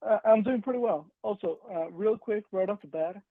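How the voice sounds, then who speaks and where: A man's voice: high-pitched, brisk, dry recording. A man with a foreign accent calling in to a show, asking his question politely and carefully. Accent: foreign, non-native English. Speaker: caller. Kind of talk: casual call-in question. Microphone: remote call. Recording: thin band-limited phone-like call line.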